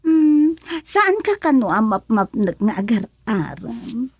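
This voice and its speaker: An actress with an Ilocano accent playing a coy, teasing village woman being courted in a comic radio drama, with drawn-out delivery. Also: a little high, sing-song, slightly rough, dry recording, clean studio sound, full character voice. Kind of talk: Filipino radio comedy drama acting.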